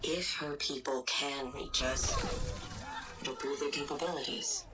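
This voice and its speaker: A woman playing a coldly logical scientist devoted to her frozen wife, speaking in measured, formal, clipped phrases in a dramatic game cutscene. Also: sits low, far from the mic, heavy background noise. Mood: somber, resolute. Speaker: lead character in a video game cutscene.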